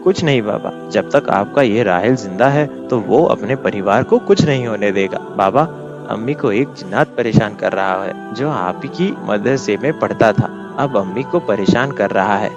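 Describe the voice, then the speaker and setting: A man voicing a calm, reassuring and grave male character in a dramatized moral story. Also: on the low side, normal speed, lightly acted. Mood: grave, earnest. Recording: dull lo-fi sound, music behind.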